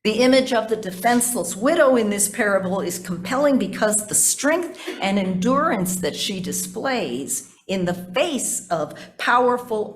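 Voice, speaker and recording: A woman pastor preaching a sermon in measured, deliberate phrases, with repetition and conversational asides to the congregation. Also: mid-range voice, a little hiss or hum.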